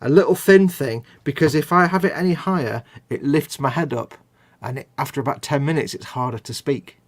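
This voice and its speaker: A husky-voiced British man chatting casually on a live video stream. He talks in a loose, self-deprecating way, restarting his sentences and laughing at himself. He sits at a desk mic and speaks at an ordinary, middling pitch. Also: brisk. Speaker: host of a model-building channel. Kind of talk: casual chat on a livestream.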